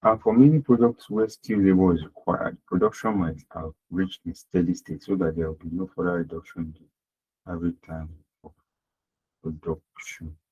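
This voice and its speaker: A deep-voiced man with a husky edge teaches accounting over an online call. He repeats his points and asks the class rhetorical questions. The sound is dull and lo-fi. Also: fairly even intonation, moderate pace, dark tone. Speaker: accounting lecturer. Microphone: online class audio.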